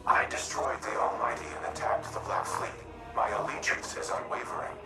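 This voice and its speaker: Deep creaky robot ai from a video game, formal n solemn, music loud behind. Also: male voice, huge pitch swings, moderate pace, heavily acted. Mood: calm, unwavering. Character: Rasputin, stately male war-machine AI in a robot body. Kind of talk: scripted video game dialogue.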